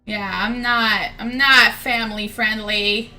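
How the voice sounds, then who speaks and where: A woman's voice: fairly high pitch, steady tone, slow pace, sharp tone, low-quality recording. A young woman livestreaming a game, chatting casually with her viewers in a sweary, giggly, teasing way. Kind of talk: casual gameplay stream chat. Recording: streamer voice with faint music behind.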